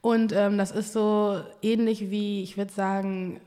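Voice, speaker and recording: A woman's voice: mid-range voice, level tone, a little slow, dark tone, in a large hall. A woman from Ghana who grew up in Germany, a podcast guest talking casually about her agency and childhood, laughing often and using lots of fillers.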